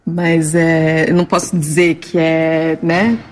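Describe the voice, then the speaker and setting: A woman's voice: deep voice, flat delivery, takes it slowly. A woman with a Brazilian Portuguese accent, an actress talking earnestly and at length in an interview. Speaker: actress, guest. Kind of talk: interview conversation.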